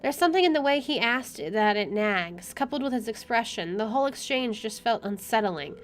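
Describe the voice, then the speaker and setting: A woman with a slightly high voice plays a visual novel for viewers. She reads the story aloud expressively and has an opinionated, casual streak. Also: animated, moderate pace. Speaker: gamer playing and reacting to a visual novel. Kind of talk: reading game narration aloud with casual commentary.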